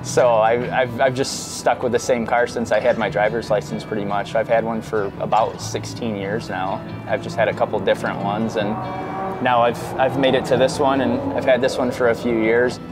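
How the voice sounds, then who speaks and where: A man race driver talks about his old car in a laid-back, offhand way. His voice sits at a middle pitch. Loud music plays behind him. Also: little pitch movement, moderate pace. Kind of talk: casual interview answers. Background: loud background music.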